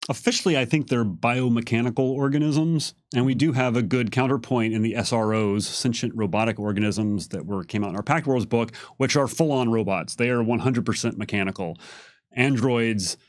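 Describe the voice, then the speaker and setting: A man's voice: medium pitch, normal speed, no echo, studio quality. A man casually explaining fictional lore as a game developer, unscripted, with emphatic stresses on key words. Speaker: game developer answering lore questions on a live stream.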